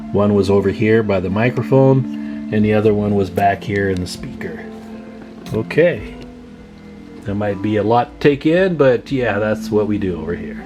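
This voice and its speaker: Low-voiced man chatting over guitar music. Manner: informal, folksy, addressing viewers as guys. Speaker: radio repair hobbyist and video host. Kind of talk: casual hands-on demonstration chat. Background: plucked guitar music.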